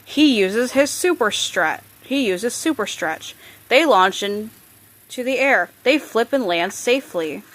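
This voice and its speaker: A woman reading a children's book aloud, expressive on characters' lines and sometimes stumbling and correcting herself. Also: fairly high pitch. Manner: halting reader, stumbles and corrects words. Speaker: storybook reader.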